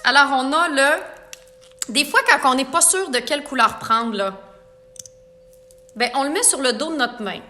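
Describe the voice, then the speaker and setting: A woman's voice: high voice, moderate pace, long pauses, pushed and bright. A woman makeup artist with a Quebec French accent, chatting playfully with viewers during a live makeup tutorial. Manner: chatty, playful, reads viewer comments aloud.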